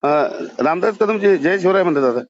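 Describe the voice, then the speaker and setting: Dull phone recording, fast insistent male caller. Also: sits high, animated, no echo. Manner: insistent, pleading, repeatedly interjecting. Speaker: caller to a politician. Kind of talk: phone conversation.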